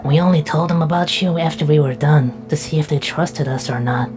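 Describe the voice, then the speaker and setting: A woman's voice: low-pitched, fairly quick, background noise, natural voice. A woman playing a sleepy, protective young knight who talks in a drowsy, affectionate way. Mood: tired. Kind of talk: casual roleplay dialogue.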